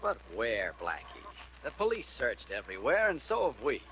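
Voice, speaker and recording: Animated, earnest radio doctor, man. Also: mid-range voice, normal speed. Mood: worried. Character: anxious, earnest male household doctor. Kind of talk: 1940s radio drama acting. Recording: muffled, hissy vintage radio recording.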